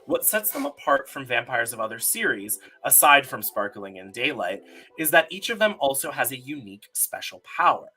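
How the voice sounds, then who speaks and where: A man's voice: medium pitch, big pitch swings, moderate pace, bright, forceful tone. A man hosting a casual trivia game on a live stream, talking in a wordy, pedantic way with asides. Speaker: quiz host on a podcast live stream.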